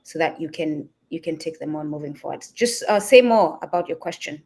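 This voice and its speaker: A woman co-hosting an online discussion, probing and rephrasing an audience question in a halting way. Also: low voice, expressive, darkish tone, breathy edge. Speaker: co-host moderating questions. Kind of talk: casual discussion.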